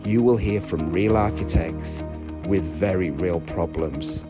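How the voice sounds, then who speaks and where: A man hosting a podcast interview, speaking in a measured way with some hesitant restarts. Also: low voice, loud music behind the voice. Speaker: podcast host.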